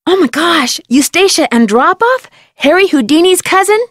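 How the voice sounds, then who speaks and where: Polite curious girl detective for a video game, really high pitched, close dry sound. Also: animated, normal speed, a bit bright, no echo. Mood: excited, urgent. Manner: polite, eager, explanatory. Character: polite, earnest, inquisitive young female detective. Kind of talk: video game character acting.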